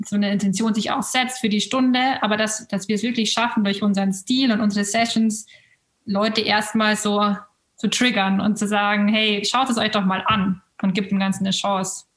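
A woman's voice: medium pitch, fairly bright tone. A woman who co-founded a yoga studio, explaining her plans in a casual interview. She talks steadily and uses frequent fillers. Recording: roomy echo.

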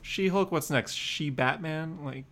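A man's voice: a little high, a little slow, crowd noise. A man hosting a podcast with casual, playful, tongue-in-cheek banter about comics. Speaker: podcast host.

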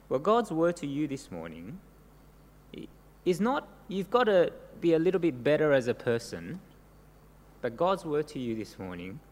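A middle-aged man, born in Korea, preaching an earnest sermon to a congregation and often ending points with tag questions. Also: medium pitch. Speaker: pastor. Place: church service before a congregation. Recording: long church-hall reverb, faint noise.

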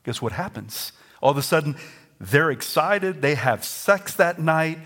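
A Southern-accented pastor delivers a lively sermon. His voice sits fairly high for a man and swings from quiet asides to near-shouts and sung lines. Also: measured pace. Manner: lively preaching, rising to near-shouts and singing.

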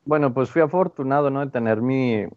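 A man's voice: mid-range voice, brisk, no room sound. A man in his thirties with a northern Mexican accent, talking casually as an interview guest in an informal, wandering way. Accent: northern Mexican Spanish. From Ciudad Juárez, Mexico, and El Paso from age twelve. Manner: informal, digressive, often tags phrases with a checking "¿no?". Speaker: guest, printmaker artist. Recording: slightly dull, lo-fi, dry sound.

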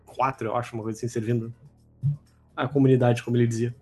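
A Brazilian man hosting a podcast, casually discussing games and anime in a husky, conversational voice. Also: mid-range voice, little pitch movement, fast talker, darkish tone, faint music behind. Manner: conversational, introducing topics. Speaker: podcast host.

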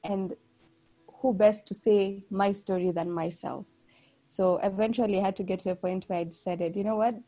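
A woman's voice: medium pitch, steady tone, normal speed, dark tone. A woman from Kenya who is a pastor, telling her story in a reflective, casual podcast chat.

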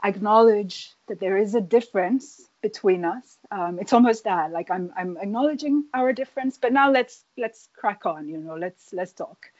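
A woman who grew up in Nigeria, an author answering interview questions thoughtfully, with many pauses and restarts. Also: mid-range voice, darkish tone, dry recording, lo-fi sound. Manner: hesitant, many fillers and restarts. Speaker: author, guest.

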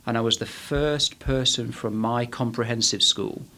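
A man's voice: medium pitch, fairly even intonation, moderate pace, bright, forceful tone. A man in his forties from England with a Manchester accent, a podcast guest talking through his background with many fillers and restarts. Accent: Manchester English. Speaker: guest, head of a management body. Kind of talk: podcast interview.

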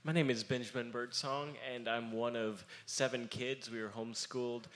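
A young man in a family band, speaking earnestly at a panel talk with many pauses and fillers. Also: medium pitch, steady tone, a bit bright.

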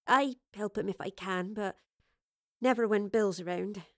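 A woman narrator acts out a kindly old working-class woman of a far-off future. She speaks in homely, colloquial phrases with a weary wistfulness, at a middling female pitch, recorded dry. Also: unhurried, fairly bright tone, no echo. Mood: homely, resigned.

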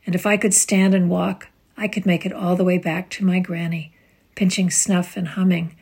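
A woman reciting poetry as a community reader, in a measured, literary reading style. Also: lowish voice, flat delivery, darkish tone, husky.

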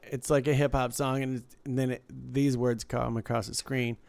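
Gravelly, clear-voiced man chatting casually. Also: medium pitch, flat delivery, normal speed, a little mellow, no echo. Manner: repeats himself, rambling. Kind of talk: casual comedic chat, telling anecdotes.

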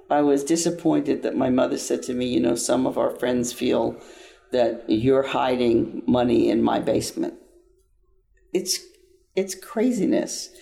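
Older male guest, gravelly and mellow, earnest, halting. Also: mid-range voice. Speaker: interview guest, nonprofit founder. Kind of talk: interview answers, personal account.

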